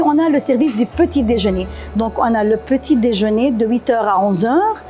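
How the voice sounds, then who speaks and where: A woman's voice: a little high, warm tone. A middle-aged woman from Lebanon with a Lebanese accent, answering interview questions conversationally as a café owner. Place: indoor café.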